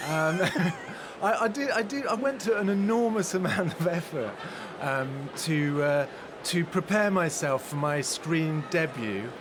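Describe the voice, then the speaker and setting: A man's voice: on the high side, lively intonation, measured pace. A man being interviewed casually in a large concert hall, wry and self-deprecating in manner. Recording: background noise of a busy venue.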